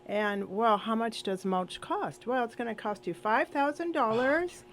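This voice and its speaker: A woman's voice: on the high side, unhurried, slight background noise. A woman guest on a radio show chatting casually and telling anecdotes, acting out the dialogue she quotes. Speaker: radio show guest, senior seminar organizer.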